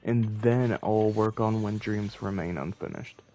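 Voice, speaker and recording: Slow-talking man rambling on a game stream. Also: lowish voice, mellow. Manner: rambling, thinking aloud. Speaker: game livestreamer. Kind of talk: casual livestream chat while playing.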